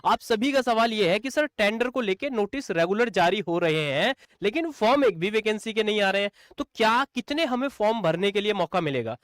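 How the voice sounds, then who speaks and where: High-voiced man explaining exam updates. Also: animated, fairly quick, hardly any pauses, a bit bright, no room sound, studio quality. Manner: repetitive, asks and answers own rhetorical questions; addresses viewers as friends and brother. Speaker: exam coaching teacher. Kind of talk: explainer video lecture.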